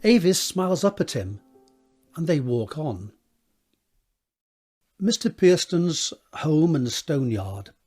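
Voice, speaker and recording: A man narrating a scripted drama, setting each scene in a measured, descriptive read with a husky voice. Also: a little high, very animated, darkish tone, faint music behind.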